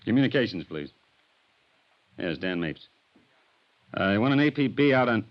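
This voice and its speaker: A very low male voice on a muffled vintage radio recording. An actor plays a tough police detective, gruff, terse and suspicious, with a dry sense of humor. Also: expressive, normal speed, lightly acted. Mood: dry, weary. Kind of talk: 1950s radio drama acting.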